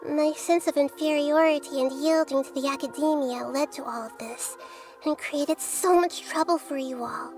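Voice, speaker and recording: A woman voicing a gentle, wise, childlike goddess in a video game, speaking in a soft girlish voice, thoughtful and measured. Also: high-pitched, fairly even intonation, over music, lightly acted. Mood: grateful, reflective.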